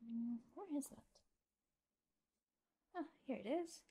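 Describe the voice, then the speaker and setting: A woman playing a friendly, gently teasing mecha mechanic in an ASMR roleplay, soft-spoken and often whispering, murmuring reassurance as she works. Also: high-pitched. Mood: gentle, focused. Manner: unhurried, murmuring reassurance while busy working.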